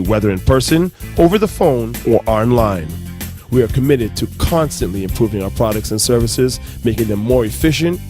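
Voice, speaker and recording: Man reading an advert over music. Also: lowish voice. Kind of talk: scripted promotional narration. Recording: music bed behind voice.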